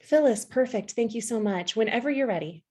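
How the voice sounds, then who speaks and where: Woman in her 30s running a zoom poetry workshop, soft and encouraging, lots of ums, earbud mic. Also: a little high, lively intonation, brisk. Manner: gentle, encouraging, with frequent ums and restarts. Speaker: workshop facilitator. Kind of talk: online workshop teaching. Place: home room with bookshelves. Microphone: earbud headset on a video call.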